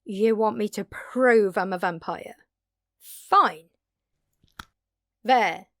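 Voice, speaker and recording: A woman playing an earnest, blunt, long-lived vampire in an intimate audio drama, speaking haltingly and pleadingly with dramatic pauses. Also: mid-range voice, no room sound, studio quality. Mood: exasperated, insistent. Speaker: voice actor.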